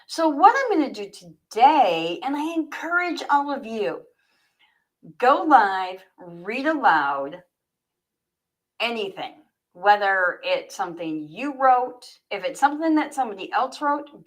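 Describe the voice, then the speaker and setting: A woman's voice: medium pitch, sing-song, unhurried, rough recording. A woman livestreaming as an author, chatty and informal as she speaks straight to her viewers. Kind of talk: casual livestream chat, then reading own fiction aloud.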